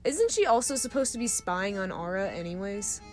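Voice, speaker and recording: Woman playing a lively, breezy young troublemaker. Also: medium pitch, normal speed, soft background music, lightly acted. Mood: dismissive. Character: breezy, mischievous young troublemaker full of half-baked theories. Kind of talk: audio drama acting.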